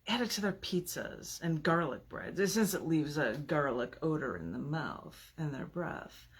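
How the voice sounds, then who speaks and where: A woman poet with a husky, gravelly voice, reading her work theatrically. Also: low voice, expressive, measured pace. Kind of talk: dramatic poetry reading with casual asides.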